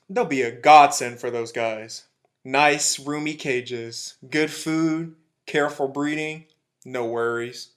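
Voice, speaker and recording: A man's voice: a little high, pushed and bright. An actor playing an embittered, zealous militiaman who survived an alien invasion, in a radio drama, speaking in emphatic, folksy, clipped phrases.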